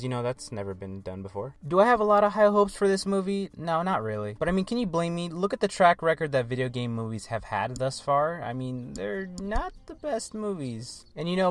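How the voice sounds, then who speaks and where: Man, twenties, American accent, medium pitch, casual vlog commentary, wry, question-and-answer delivery. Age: 20s. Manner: wry, asks himself questions and answers them. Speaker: movie vlog host. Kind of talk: casual movie commentary to viewers.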